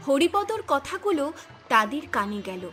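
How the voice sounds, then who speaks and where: A woman's voice: high-pitched, very animated, moderate pace, fairly bright tone, soft background music. A woman giving dramatic scripted narration as the narrator of a story.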